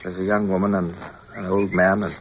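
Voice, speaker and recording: An actor playing a resolute naval officer in a radio drama, commanding yet tender, crisp and formal as he tells of his war. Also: deep voice, level tone, a little fast, close to an everyday voice. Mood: urgent. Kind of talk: radio drama acting and first-person narration. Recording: muffled, noisy old radio recording.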